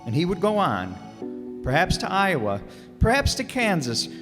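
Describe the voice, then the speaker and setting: A man narrates a radio play with dramatic, very lively intonation. Music plays clearly behind him. The voice carries a long hall reverb. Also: high voice, unhurried. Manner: dramatic storytelling. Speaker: narrator and announcer.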